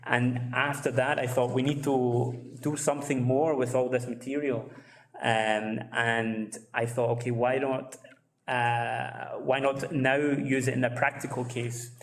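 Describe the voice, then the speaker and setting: Man speaking flatly in an echoey hall. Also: mid-range voice, a little slow. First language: English. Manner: hesitant, many fillers. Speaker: book author, speaker at launch. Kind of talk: presentation talk.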